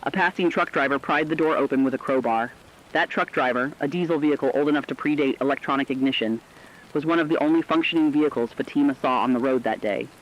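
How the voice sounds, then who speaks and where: A man acting as a solemn radio host speaks in a medium-pitched voice over a slightly dull, lo-fi signal. He is earnest and narrates like a storyteller on a scripted disaster broadcast. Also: fast talker, lightly acted. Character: solemn, earnest male host of a last surviving radio station.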